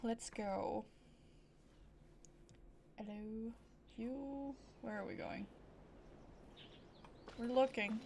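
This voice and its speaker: A woman's voice: fairly high pitch, extremely slow, vocal fry, pauses often, noisy background. A woman streaming a game with casual commentary, playfully dropping short reactions. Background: game music.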